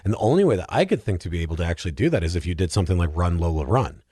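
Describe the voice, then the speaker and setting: A man's voice: low-pitched, lively intonation, very fast, mellow, husky, dry recording, studio quality. A man in a casual podcast discussion, explaining things in an earnest, emphatic way as a guest.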